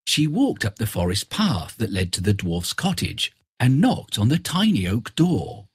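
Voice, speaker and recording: A man's voice: on the low side, huge pitch swings, measured pace, breathy edge, dry recording, studio quality. A man narrating a scripted fairy tale for children.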